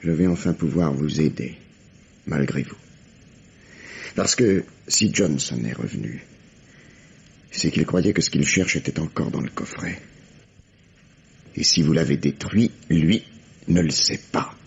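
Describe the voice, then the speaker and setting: An actor playing a gruff, weary, relentless police detective in 1950s radio mystery style, questioning with menacing, mock-fatherly insistence. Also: very deep voice, measured pace, long pauses, exaggerated voice. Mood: relieved, urgent. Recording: dull vintage radio drama recording.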